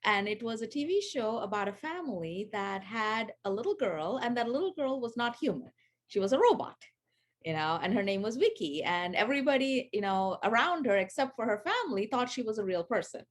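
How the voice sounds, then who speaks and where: Chatty woman from Sri Lanka, higher voice. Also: very animated, brisk. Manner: chatty, many fillers and restarts. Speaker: guest, AI education founder. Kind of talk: casual interview over video call.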